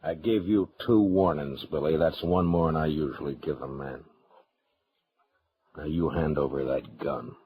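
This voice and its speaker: An American actor playing a stern, unyielding frontier marshal in old-time radio western style, terse and full of firm authority. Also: male voice, rumbling low, normal speed, a little mellow. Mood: cold warning. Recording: old radio broadcast with background murmur.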